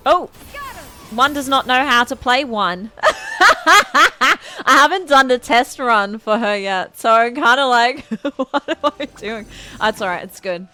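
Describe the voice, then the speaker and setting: A woman's voice: sits high, very animated, takes it slowly, bright, forceful tone, soft background music. A woman livestreaming a game, chatting casually with gushing, giggly reactions to what she plays. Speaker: game streamer. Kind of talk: casual livestream gameplay commentary.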